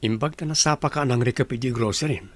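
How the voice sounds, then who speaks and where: An actor as an earnest young suitor, medium pitch. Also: male voice, quick pace, close to an everyday voice. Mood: affectionate. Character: devoted young suitor, earnest and tender grandson. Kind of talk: Ilocano radio drama acting.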